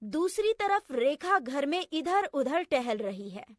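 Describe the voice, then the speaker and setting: A woman's voice: high voice, very animated, slow, no room sound, studio quality. A woman narrating a scripted children's story as the storyteller.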